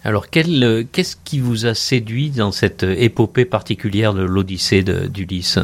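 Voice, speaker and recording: A man's voice: lowish voice, normal speed. A man from the Mediterranean hosting a radio interview, asking questions with the occasional filler. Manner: warm, admiring questions with some fillers. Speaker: radio host.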